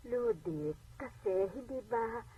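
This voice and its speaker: A woman's voice: on the high side, lively intonation, slow pace, dark tone, lo-fi sound. A woman playing a humble, generous elderly grandmother in a Filipino radio drama, coaxing and hesitant in manner. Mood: meek, explaining. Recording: distant, noisy AM radio playback.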